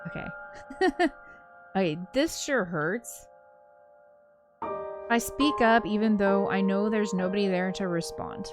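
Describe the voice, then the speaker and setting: A woman reading a visual novel aloud as a game co-host, expressive in the story and giggly in her casual asides. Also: mid-range voice, slow, long pauses. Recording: music playing behind the voice. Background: game soundtrack music.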